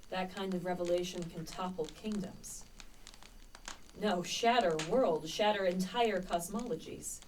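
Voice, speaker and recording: A woman playing a young male poet and spy in a radio play, musing lyrically with dramatic pauses. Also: lowish voice, darkish tone, far from the mic, slight background noise, natural voice, low-quality recording. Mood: excited musing. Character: Christopher Marlowe, dreamy, searching young male poet and spy.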